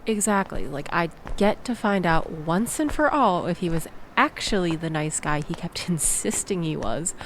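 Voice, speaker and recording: A woman playing a sarcastic young woman venting about her evening in an intimate, conversational audio roleplay, with expressive, wry delivery. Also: fairly low pitch, normal speed, hardly any pauses, slight background noise, lightly acted, low-quality recording. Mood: wry, deflated. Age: young adult. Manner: wry, sarcastic, animated storytelling with sighs and laughs.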